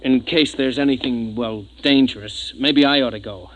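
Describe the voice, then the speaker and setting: A man's voice: medium pitch, moderate pace, lightly acted, poor audio. An actor playing a deferential, hesitant but dutiful spaceship crewman in a 1950s radio drama.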